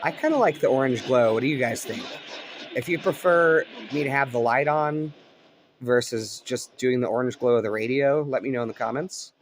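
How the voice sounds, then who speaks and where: A man talks in a relaxed, musing way as he scans the radio dial at night. His voice sits at an ordinary middle pitch. Light hiss runs under the talk, and the sound has the plain quality of a cell phone recording in a room. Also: moderate pace. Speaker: shortwave radio hobbyist host. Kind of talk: casual chat. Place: indoors at night by a radio's glow.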